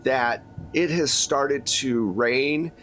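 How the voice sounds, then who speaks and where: A man's voice: mid-range voice, very slow, a bit bright, slight background noise. A man running a tabletop roleplaying game, improvising descriptive narration in a conversational way. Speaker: game master.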